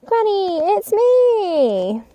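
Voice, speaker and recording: Woman voicing a high, excitable little girl. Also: very slow, no echo, highs cut off, exaggerated voice. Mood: curious. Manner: exclaiming, wide-eyed and innocent. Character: sweet, excitable little girl from a fairy tale. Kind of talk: children's storybook character voice.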